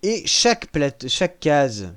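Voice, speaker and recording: A man casually walking viewers through a strategy video game, joking at his own expense and pausing often with fillers. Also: on the high side, very animated, fairly bright tone. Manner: self-mocking, hesitant with frequent fillers. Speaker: gaming channel host. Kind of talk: casual gameplay walkthrough.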